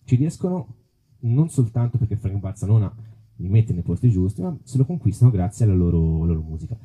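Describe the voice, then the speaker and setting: Man, author presenting informally; husky, very mellow delivery, thinking aloud, slightly muffled live sound. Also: fairly low pitch, big pitch swings, fast. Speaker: author presenting his book on rock music. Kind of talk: informal public talk with musical examples.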